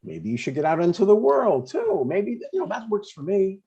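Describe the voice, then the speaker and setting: An earnest dad in his sixties, played by a man at a comedy table read, eager and gently stubborn. His voice is dark and husky, and the recording is slightly dull. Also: high voice, very animated, moderate pace, heavily acted. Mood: eager, excited. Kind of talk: conversational comedy script read aloud.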